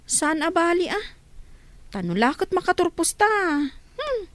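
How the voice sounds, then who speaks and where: Woman acting a high, animated complaining student. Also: unhurried, no echo, full character voice. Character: reluctant, complaining young student who hates farm work. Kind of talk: Ilocano radio drama acting.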